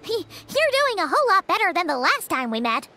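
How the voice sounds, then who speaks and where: Game role: tiny childlike fairy girl, friendly and exclamatory, sky-high pitch, bouncy intonation. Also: big pitch swings, brisk, bright, forceful tone, faint music behind, full character voice. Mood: chirpy, candid. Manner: exclamatory, childlike, explains things simply. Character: tiny chirpy childlike female fairy companion, candid and friendly. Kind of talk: scripted video game dialogue.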